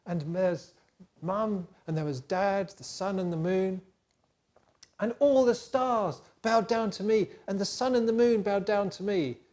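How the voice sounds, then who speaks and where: A man voicing a boastful young man proudly telling his dreams, in a lifted, excited voice. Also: high-pitched, a little slow, pitched above the performer's own voice.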